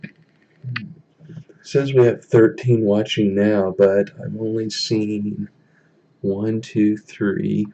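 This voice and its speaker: A young man with a deep voice chatting casually on a live stream from a small room, halting with many ums as he reads the chat. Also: level tone, vocal fry, mellow, muffled recording, a little hiss or hum. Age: young adult.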